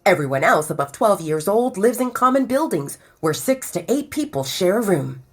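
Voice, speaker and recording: A woman voicing a serious, grumbling young male werewolf with a husky tone in an audio romance story. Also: medium pitch, sing-song, normal speed. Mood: serious, informing. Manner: grumbling, dry. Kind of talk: audiobook character voice over music.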